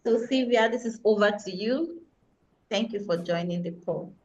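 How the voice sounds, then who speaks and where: A woman's voice: mid-range voice, moderate pace, a little mellow, slight background noise. A woman moderating an online session, polite and hesitant, with many ums. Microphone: video call. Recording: dull, roomy video-call audio.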